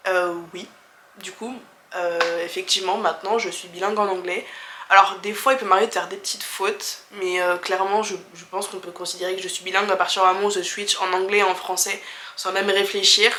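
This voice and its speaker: Young French woman vlogger, twenties, bright tone, casual and candid Q&A delivery, roomy echo. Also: mid-range voice, flat delivery, a little fast. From France. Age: 20s. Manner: candid, chatty, many fillers and restarts, laughs easily. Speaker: travel vlogger. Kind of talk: casual chat answering viewer questions.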